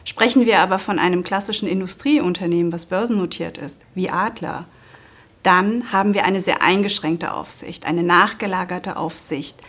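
Lower-pitched woman, measured official spokesperson. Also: fairly bright tone, husky. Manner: measured, official, matter-of-fact. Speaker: financial regulator spokesperson. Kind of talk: formal explanatory interview answers.

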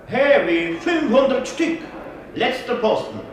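An actor playing a stock exchange crier in a radio drama, calling out trades tersely in drawn-out calls. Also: male voice, very high voice, very animated, exaggerated voice. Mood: loud calling. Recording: echoey, roomy sound.

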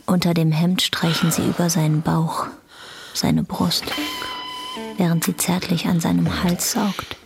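Female narrator, scripted radio drama, lowish pitch, little variation, guitar underneath. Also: flat delivery, a little slow, vocal fry. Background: guitar music.

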